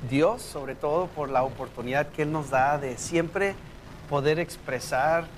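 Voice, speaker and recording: Man, slightly high voice, relaxed storyteller, faint studio hiss. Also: measured pace. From South Texas. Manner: warm storytelling, with frequent restarts. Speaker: church music director. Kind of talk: casual interview chat.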